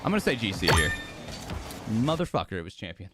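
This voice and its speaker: A man's voice: sits low, very animated, quick pace, over loud music. A man livestreaming casually to his viewers as host, talking in hyped, slangy bro speak with swearing, his voice strained from overuse. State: voice strained, losing it. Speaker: live streamer hosting a watch party.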